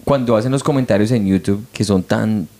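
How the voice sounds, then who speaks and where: A man hosting a relaxed interview, encouraging his guest with quick affirmations. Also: on the low side. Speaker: interview host. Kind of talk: casual interview chat.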